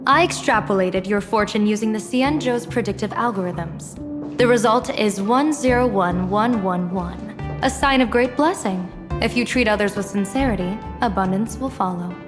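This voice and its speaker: A woman's voice: mid-range voice, moderate pace, close to an everyday voice. A woman playing a playful, self-assured general and fortune-teller in a video game, speaking in a ceremonious, flowery manner. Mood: playful, flattering. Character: grandiose, playful female general and fortune-telling strategist. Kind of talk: scripted game voice acting. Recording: long reverb, background music.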